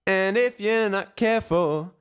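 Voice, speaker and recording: A man's voice: high voice, unhurried, clear, resonant tone, pitched above the performer's own voice. A man singing unprocessed vocal takes as Mr Bob, a man looking for a job, with a whispery voice over a music track. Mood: sung.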